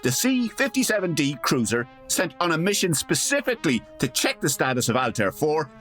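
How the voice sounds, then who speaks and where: A droll radio announcer, played by a man, narrating with polished, arch wit. The voice is fairly high and lively, over faint music. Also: brisk. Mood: wry. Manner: arch, tongue-in-cheek, polished. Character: droll, wry male old-time radio announcer-narrator. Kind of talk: scripted radio-play narration.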